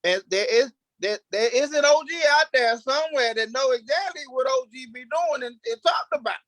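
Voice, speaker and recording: A man with a high-pitched voice talks as a cast member in an unscripted discussion. He is emphatic and circles back over his phrases with restarts. The sound is dry and close. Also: fairly bright tone, no room sound. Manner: emphatic, repetitive, halting restarts. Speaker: stage play cast member.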